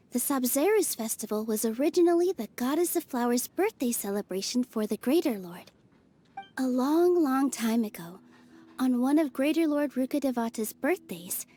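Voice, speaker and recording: A woman voicing a graceful young dancer in a game, telling an old legend gently. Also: high voice. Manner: gentle storytelling. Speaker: festival dancer. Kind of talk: game cutscene voice acting.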